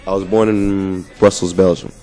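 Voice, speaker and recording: A young man from the United States giving relaxed, easy interview answers into a handheld mic indoors. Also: sits low, moderate pace, faint music behind. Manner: laid-back, laughs easily, warm-hearted talk. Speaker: interviewee, coach's son. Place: indoor room. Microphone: handheld mic held in front.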